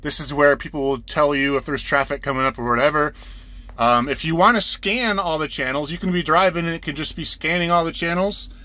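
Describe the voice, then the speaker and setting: Bright-voiced man giving a casual tutorial. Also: fairly high pitch, fairly quick. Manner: plain-spoken, informal, repeats instructions step by step. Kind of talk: casual hands-on explainer. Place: inside a truck cab.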